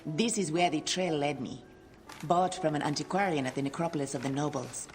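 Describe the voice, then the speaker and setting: An actress playing a guarded, world-weary woman merchant in a video game, speaking gravely and dramatically. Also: low-pitched, fairly quick, darkish tone, lightly acted. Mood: remorseful, fearful. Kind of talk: video game cutscene acting. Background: music.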